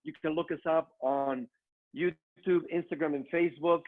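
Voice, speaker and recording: A man's voice: fairly high pitch, steady tone, normal speed. Mexican-accented man, tinny call audio. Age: middle-aged. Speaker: online training speaker. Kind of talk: motivational business presentation. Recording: narrow phone-like online call audio.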